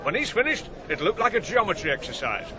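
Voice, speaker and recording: A man's voice: high voice, sing-song, fast, crowd noise. A man acting a shrewd, hearty older farmer in a radio drama. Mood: proud. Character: blustery older farmer, the ploughboy's shrewd boss. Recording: noisy old radio broadcast.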